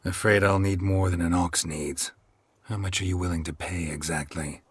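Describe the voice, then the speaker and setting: An actor playing a gruff, businesslike monster hunter for hire in a video game, terse, dry and deadpan. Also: male voice, sits low, normal speed. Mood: dry bargaining. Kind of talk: video game character acting.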